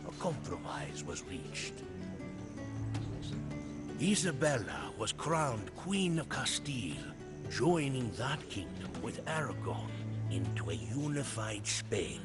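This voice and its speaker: A man's voice: mid-range voice, sing-song, takes it slowly. An actor playing a loyal soldier who solemnly and theatrically recounts his captain's campaigns as the storybook narrator of a game. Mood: measured, solemn. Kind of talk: dramatic storybook cutscene narration. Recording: studio narration under loud music.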